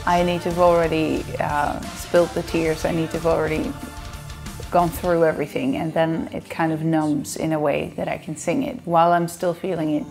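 Dutch lady on a couch answering fan questions, kinda low voice, chatty and jokey, a bit of music behind. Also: flat delivery, moderate pace, vocal fry. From Netherlands. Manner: chatty, playful, laughs often. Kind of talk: casual chat to camera. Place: indoor living room, seated on a sofa.

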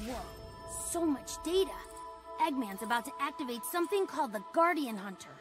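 A woman's voice: high voice, expressive, a little fast, music in the background. A woman voicing an earnest little boy fox inventor in a video game, eager and brainy with an American accent. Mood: earnest, focused. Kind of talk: video game cutscene acting.

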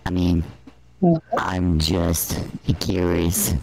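A man's voice: deep voice, completely flat delivery, extremely slow, gravelly, a little mellow. A man talking casually on a video call with his voice shifted down by a voice changer. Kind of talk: casual joking group chat. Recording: noisy video call audio.

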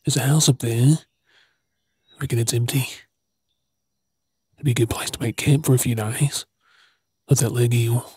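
Man playing a husky Australian apocalypse survivor. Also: mid-range voice, steady tone, moderate pace, pauses often, warm tone, dry recording. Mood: weary, gentle. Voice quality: husky, breathy, often whispering. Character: gruff but caring male zombie apocalypse survivor. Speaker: ASMR role-play performer. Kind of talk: ASMR role-play drama, often whispered.

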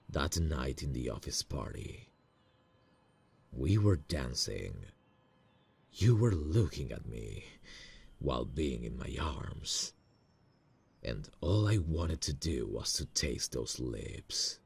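Very deep, gravelly man acting as a lovestruck boss. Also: big pitch swings, heavily acted. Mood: tender, hopeful. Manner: hesitant, slow, soft-hearted. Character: nervous lovestruck male boss, tender and earnest. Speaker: voice actor in romantic audio roleplay. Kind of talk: intimate ASMR romantic roleplay acting.

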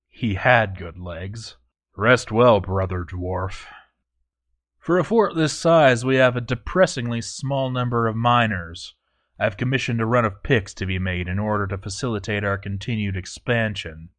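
A man voicing a proud, stern dwarf overseer in formal, measured, scripted storytelling narration. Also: on the low side, fairly bright tone. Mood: solemn. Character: proud, stern male dwarf fort overseer, grandly dutiful. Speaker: narrator reading a fort overseer's journal.

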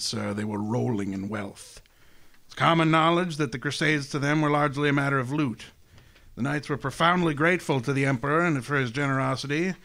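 An actor playing a genteel, scheming older crime boss in a radio drama, speaking in a courtly, grandiloquent, relishing way. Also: male voice, medium pitch, a bit bright, close to an everyday voice. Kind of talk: hardboiled detective radio drama acting.

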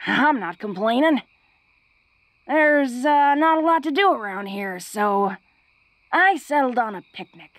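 A woman's voice: high voice, animated, unhurried, fairly bright tone, no echo. A woman playing a tough, flirty, secretly awkward female orc in an intimate romantic audio roleplay, speaking in a hesitant, folksy, teasing way.

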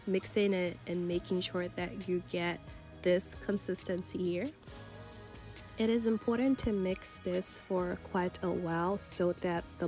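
Casting note: woman from Nigeria, lowish voice, stuffy and congested, chatty cooking voice-over, soft music underneath. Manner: chatty, relaxed, many fillers like "you know". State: congested from a cold. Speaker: cooking channel host. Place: voice-over recorded separately from the kitchen footage.

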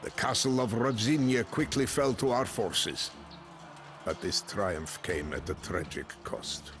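An actor playing a grim, proud medieval Bohemian mercenary commander, narrating his story solemnly and deliberately for a video game. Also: on the low side, fairly bright tone. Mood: somber triumph. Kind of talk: dramatic scripted first-person narration. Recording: clean voice-over with music behind.

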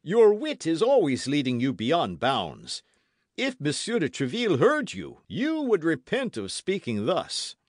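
Man acting boisterous swaggering musketeer, high, wildly swooping voice. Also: huge pitch swings, measured pace, no echo, clean studio sound, exaggerated voice. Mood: indignant, scolding. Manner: theatrical, exclamatory, swooping emphasis. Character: boisterous, boastful swaggering musketeer, loud and self-satisfied.

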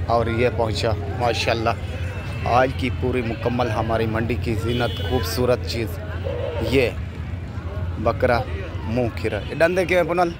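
A middle-aged man, a goat farmer, walks through an open-air livestock market, filming on his phone. He talks at a slow, unhurried pace in a mid-range voice. He keeps returning to the same tag question as he shows the animals. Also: mid-range voice. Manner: slow, repetitive, ends phrases with a tag question. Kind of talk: walking tour vlog commentary.

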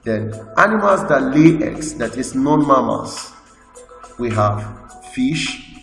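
A man with a Nigerian English accent, teaching a school lesson by slowly reading out lists from slides. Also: mid-range voice, animated, creaky, a bit bright. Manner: slow, list-like reading with explanations. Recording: echoey room with long reverb, faint music.

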